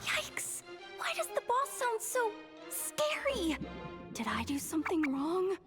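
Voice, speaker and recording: A woman voicing an eager, easily rattled young female underling in a video game, with a nervous, stammering, excitable delivery. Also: very high voice, slow, over music, heavily acted. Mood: startled, sheepish. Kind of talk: animated video game voice acting.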